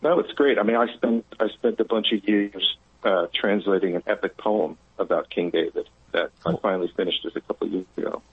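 Over a narrow, muffled phone line, a man with a lowish voice calls in to a radio show. He speaks reflectively and haltingly, repeating words as he thinks. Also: breathy edge, dry recording. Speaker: phone-in caller. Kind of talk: thoughtful conversational talk. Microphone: telephone.

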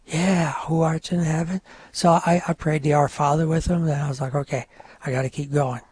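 An older man whose first language is French, telling his life story in a relaxed, rambling interview with many fillers. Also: on the high side, fairly quick, a little hiss or hum. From North Country of New York. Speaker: guest.

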